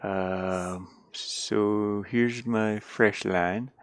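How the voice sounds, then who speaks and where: A man's voice: on the low side, fairly even intonation, very slow, clear, resonant tone, no room sound. A man live streaming casually as he works with his hands, muttering and meandering as he thinks aloud through each step for viewers. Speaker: live streamer doing a DIY build. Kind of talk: casual chat while working, thinking aloud.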